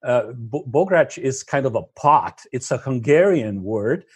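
Man, podcast guest, husky, hesitant, dry close sound. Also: medium pitch, lively intonation, a little slow, a little mellow, dry recording. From Slovenia. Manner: hesitant, many fillers and restarts. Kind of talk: casual interview chat.

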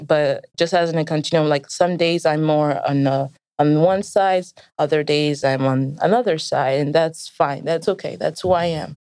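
Young woman, American accent with Nigerian traces, slightly low pitch, clean resonant tone, reflective interview storytelling. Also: steady tone, normal speed, few pauses, a little mellow, clean tone, no echo, clean studio sound. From Nigeria and the United States. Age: young adult.

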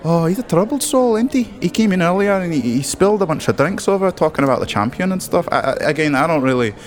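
A man voicing a chatty, eager female gnome barmaid with a Scottish accent and a bubbly, rambling, excitable manner in a fantasy game. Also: a little high, fast, pitched above the performer's own voice, close to an everyday voice. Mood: chatty, friendly. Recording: music under the voice.

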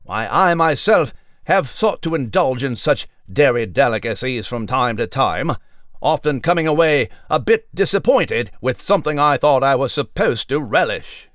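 An actor playing a pompous, scholarly little mouse delivering a formal, wordy mock news report in a children's audio drama. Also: male voice, mid-range voice, animated, moderate pace, fairly bright tone, no echo, studio quality, lightly acted. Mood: pompous, earnest.

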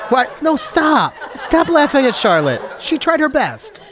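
Excitable podcast host with a cold. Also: high voice, crowd noise. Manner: excitable, fast-talking, jokey. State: has a cold. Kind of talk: casual podcast chat.

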